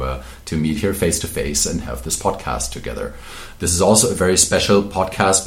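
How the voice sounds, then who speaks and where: Very deep-voiced podcast host, roomy sound. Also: male voice. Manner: earnest, wordy, with many uh and um fillers. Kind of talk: interview podcast hosting.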